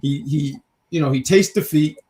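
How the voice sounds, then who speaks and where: A man's voice: on the high side, moderate pace, a little mellow, slight background noise. A man co-hosting a review show, chatting casually with a joking, teasing, rambling manner and repeating his phrases as he gives opinions. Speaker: co-host of a wrestling review show. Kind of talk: casual chat, opinionated commentary.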